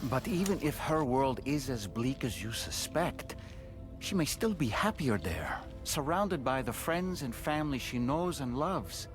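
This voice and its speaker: Gentle, expressive male crewmate. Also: medium pitch, measured pace, over music. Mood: gently reasoning. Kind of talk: dramatic video game cutscene acting.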